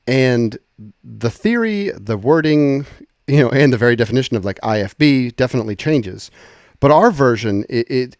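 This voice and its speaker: A man with a middle-pitched voice presents a casual software tutorial. He talks informally, as if to colleagues, and often rewords his explanations. The sound is dry, with very little room. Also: no echo.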